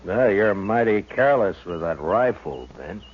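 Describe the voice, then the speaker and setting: An American actor playing a stern, calm Old West marshal in a 1950s radio western, measured and laconic. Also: male voice, lowish voice. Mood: coolly challenging. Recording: dull old radio recording, music behind.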